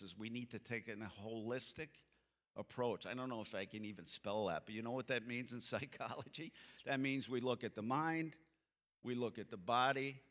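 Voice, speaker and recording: A man in his seventies giving a church seminar talk, a folksy, animated storyteller who acts out the people in his stories. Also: lowish voice. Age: 70s. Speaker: seminar speaker. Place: church sanctuary stage, seated. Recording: long hall reverb from the sanctuary.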